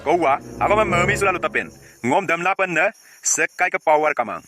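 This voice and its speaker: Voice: medium pitch, animated, normal speed, over music, natural voice. An actor voicing a scolding, exasperated schoolteacher in a cartoon comedy.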